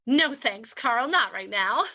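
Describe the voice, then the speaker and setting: A woman playing a coy, flattering young female gold-seeker in old-time radio style, sweet and probing. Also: on the high side, takes it slowly, dull audio, pitched below the performer's own voice, heavily acted.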